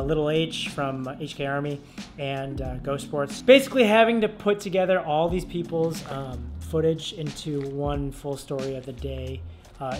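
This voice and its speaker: Laid-back young man vlogging at home. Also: medium pitch. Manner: laid-back, rambling with many fillers. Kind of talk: casual vlog chat. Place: dim room at home, indoors. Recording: music playing behind.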